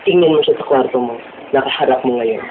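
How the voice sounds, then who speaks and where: A man's voice: mid-range voice, fairly quick, close to an everyday voice. A teenage boy with a Filipino accent acting as a menacing, teasing killer on the phone in an amateur horror drama. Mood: taunting. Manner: taunting, playful, code-switching between Tagalog and English. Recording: tinny, noisy phone-like lo-fi audio.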